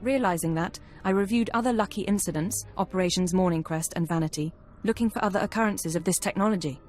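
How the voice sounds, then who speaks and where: A woman playing a brisk, analytical intelligence officer in a video game, reporting in a precise, matter-of-fact way. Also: fairly low pitch, darkish tone, faint music behind, close to an everyday voice. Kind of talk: video game cutscene acting.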